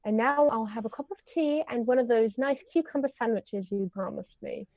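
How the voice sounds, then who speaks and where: A woman's voice: fairly high pitch, a little fast, dry recording, narrow, tinny audio, lightly acted. A woman voicing an imperious older aristocratic aunt, stately and haughty, in a table read of a stage comedy over thin call audio. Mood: haughty gossip.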